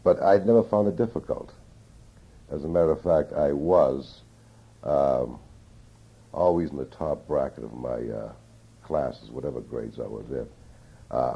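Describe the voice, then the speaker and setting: An older man reminisces about his youth for an oral history interview, pausing often to find his words. His voice sits very low, rough and gravelly with a husky edge. The sound is dull and muffled, like an old interview tape. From Newton, Massachusetts. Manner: slow, reflective, with many hesitations.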